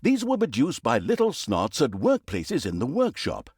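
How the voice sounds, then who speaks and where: An actor playing a pompous, droll, easily exasperated storybook narrator in a video game, with theatrical delivery. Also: male voice, mid-range voice, huge pitch swings, normal speed, a little mellow, breathy edge, dry recording, studio quality. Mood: dryly mocking.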